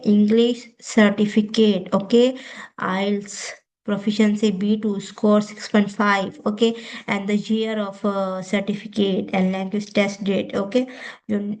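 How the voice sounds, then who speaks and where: A woman with a Pakistani accent, a native Urdu speaker, giving an informal step-by-step tutorial in a halting, repetitive way with frequent okays. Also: mid-range voice, darkish tone. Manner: halting, repetitive, often says okay. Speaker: tutorial host.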